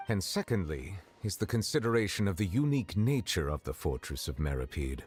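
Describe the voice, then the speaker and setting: Grave game official: very low, smooth-edged restraint, slightly husky, formal diction, faint music behind. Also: male voice, big pitch swings, heavily acted. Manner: measured, formal, precise diction. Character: grave, formal male official of high rank, reserved and courteous. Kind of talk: video game voice acting.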